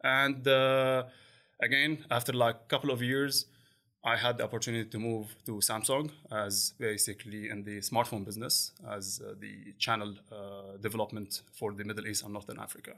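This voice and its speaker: A man in his forties, a podcast guest who speaks haltingly with many fillers and restarts. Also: on the low side, flat delivery, creaky, sharp tone. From Lebanon. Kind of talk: conversational interview.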